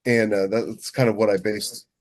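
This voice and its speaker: A man chatting casually as a podcast co-host and writer, halting and restarting as he thinks aloud. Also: fairly low pitch, level tone. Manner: halting, thinks aloud with many repeated false starts. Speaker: podcast co-host and science fiction author. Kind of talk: casual chat about book writing.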